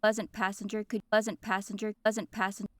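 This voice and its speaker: A woman voicing a haughty young princess in a scripted fantasy audio drama, speaking in a measured, pointed, formal way. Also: mid-range voice, flat delivery, no room sound, natural voice. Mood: cool reproach.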